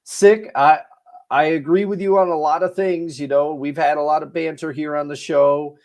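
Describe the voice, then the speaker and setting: A man's voice: fairly high pitch, steady tone, normal speed, darkish tone. A young man co-hosting a podcast, talking in an easygoing, emphatic way and laughing along. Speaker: podcast co-host. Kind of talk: casual podcast chat and review.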